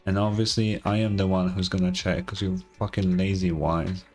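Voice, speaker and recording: A man's voice: sits low, level tone, normal speed, darkish tone, faint music behind. A young man doing casual, offhand game commentary with some swearing, also reading game text aloud. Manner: offhand, profane. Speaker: game streamer.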